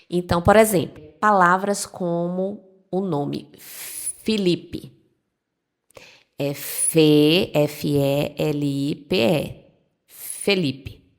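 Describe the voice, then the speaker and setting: A woman's voice: lowish voice, creaky. A young woman teacher with a Northeastern Brazilian accent, giving a casual video lesson and explaining patiently, step by step. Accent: Northeastern Brazilian Portuguese. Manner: friendly, reassuring, explains step by step. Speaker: Spanish teacher.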